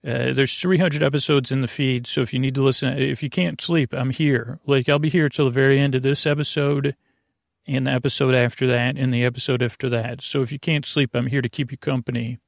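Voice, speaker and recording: Creaky-voiced man rambling gently. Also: medium pitch, steady tone, brisk, no room sound, studio quality. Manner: meandering, hesitant, goofy, circles back on himself. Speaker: podcast host. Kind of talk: rambling bedtime sleep podcast monologue.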